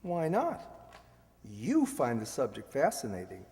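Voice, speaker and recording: A man's voice: mid-range voice, huge pitch swings, takes it slowly, mellow. A man playing a witty, needling, urbane ex-husband in an amateur radio play, sardonic and theatrical. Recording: echoey hall, long reverb.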